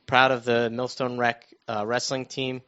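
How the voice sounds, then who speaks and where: A middle-aged American man telling stories casually on a talk show, halting and restarting his phrases as he goes. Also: medium pitch, level tone, a bit bright. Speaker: radio show panelist recounting his own news. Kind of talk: casual conversational storytelling. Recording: dull, lo-fi muffled sound.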